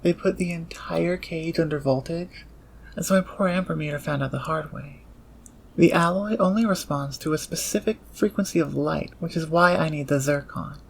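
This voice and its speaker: An actor in an audio roleplay playing a socially awkward, intense engineer turned thief, speaking in a halting, precise, nerdy way. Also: mid-range voice, poor audio. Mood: dry, pensive. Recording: clear background ambience noise.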